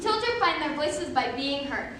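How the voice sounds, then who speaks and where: A woman's voice: high-pitched, lively intonation, normal speed, fairly bright tone. A young adult woman performer giving an emphatic, theatrical rehearsed stage presentation. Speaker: college forensics performer. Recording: very echoey room, distant mic, clear noise.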